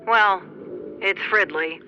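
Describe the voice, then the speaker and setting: A woman's voice: medium pitch, slow pace, music in the background, lightly acted. A woman playing a breezy, self-satisfied suburban former radio host in a comedic radio drama. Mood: dry. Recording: dull, noisy ham radio sound.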